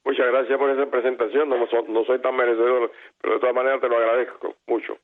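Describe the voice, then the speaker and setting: A man's voice: mid-range voice, little pitch movement, quick pace. A Cuban man in his eighties, an interview guest telling his own story earnestly and correcting himself mid-sentence. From Cuba. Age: 80s. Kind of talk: personal recollection in an interview. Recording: tinny phone-like line.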